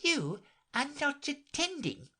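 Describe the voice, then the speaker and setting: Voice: high voice, very animated, takes it slowly, pushed and bright, pitched above the performer's own voice, full character voice. The narrator voicing an easily offended mouse, mournful and indignant, with a severe, huffy manner. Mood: severe, angry.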